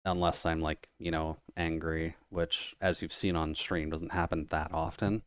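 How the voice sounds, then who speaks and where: A man in casual chat while gaming, talking quietly with a low-energy, mumbled delivery over a voice call. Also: flat delivery, unhurried, clear, resonant tone, no room sound, studio quality. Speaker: streamer's guest playing a game.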